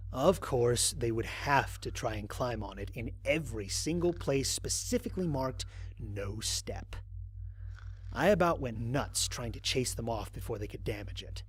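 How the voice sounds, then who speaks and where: A man playing a wry, grumbling human astronaut who records mission logs in an audio drama, speaking in a conversational, expressive way. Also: mid-range voice, a little hiss or hum, natural voice. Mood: exasperated, relieved. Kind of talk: dramatized first-person log narration.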